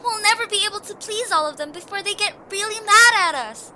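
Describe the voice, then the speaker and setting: A woman's voice: extremely high pitch, brisk, bright, forceful tone, full character voice. A woman playing a plucky, slightly sarcastic young adventurer in a fan audio drama. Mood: puzzled, determined. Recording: music clearly behind.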